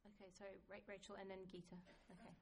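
A woman moderating a conference panel, briskly putting questions and calling on speakers. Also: medium pitch, flat delivery.

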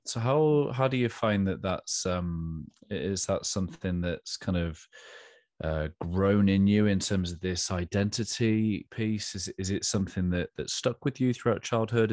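A man in his thirties hosting a podcast, casual and thoughtful, halting and rephrasing as he goes, close to a studio microphone. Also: sits low, a little mellow, no room sound. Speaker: podcast host. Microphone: large studio microphone close up, headphones.

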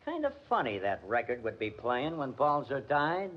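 An actor playing a gruff, bullying police detective in 1940s radio style, brusque and slangy. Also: male voice, medium pitch, wildly swooping pitch, normal speed. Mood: suspicious, curt. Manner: brusque, slangy tough-guy talk. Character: gruff, bullying, impatient police detective who hates music. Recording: muffled, hissy 1940s radio recording.

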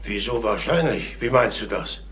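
An actor playing a middle-aged, exhausted, agitated father in a German crime radio drama, brusque, halting and repeating himself. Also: fairly low pitch, animated, a bit bright. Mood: pressing. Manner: halting, repetitive, demanding. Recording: roomy, faintly noisy old radio recording.

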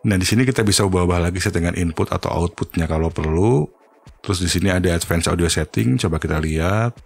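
A man giving an informal, step-by-step software tutorial. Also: low voice, vocal fry, slightly rough. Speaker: tutorial host.

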